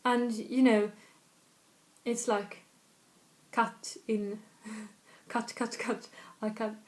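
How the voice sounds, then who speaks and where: Slow-talking Swedish woman tutor. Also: a little high, flat delivery. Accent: Swedish, slightly northern. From Sweden, north of Stockholm. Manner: chatty, self-correcting, repeats example phrases slowly. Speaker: language tutor. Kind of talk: casual video lesson.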